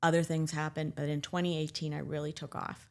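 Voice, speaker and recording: New England woman author, low voice. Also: level tone, a little fast, a little mellow. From Cuba. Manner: candid, self-deprecating, laughing. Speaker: author and guest. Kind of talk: casual interview chat.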